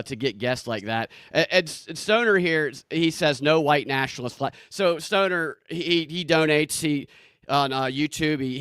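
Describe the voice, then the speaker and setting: Smooth-voiced joking man on a call. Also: medium pitch, a bit bright, no echo. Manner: jokey, sarcastic, rambling. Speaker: podcast host, guest here. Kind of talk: casual interview chat. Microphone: video call.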